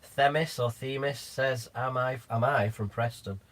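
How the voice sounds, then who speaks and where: A man's voice: mid-range voice, steady tone, unhurried, a bit bright. A man with a West Lancashire accent co-hosting a casual live stream, reading out viewer comments with dry banter and casual swearing.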